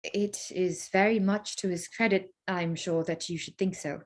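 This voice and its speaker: A woman's voice: lowish voice, steady tone, a little slow. A woman as poised, reserved young Lizzie Bennet. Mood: polite. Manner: polite, reserved. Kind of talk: staged reading of period drama.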